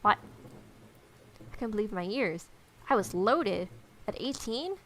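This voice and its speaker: A woman's voice: medium pitch, expressive, measured pace, a bit bright, a little hiss or hum. A woman reading a visual novel aloud, with irreverent, sarcastic asides. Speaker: let's player.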